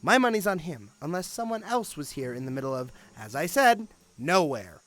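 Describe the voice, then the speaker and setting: A man's voice: a little high, very animated, moderate pace, a bit bright. A man playing a cynical, impatient police detective in an audio drama, with a sarcastic, emphatic way of talking. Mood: sarcastic, insistent. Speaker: voice actor.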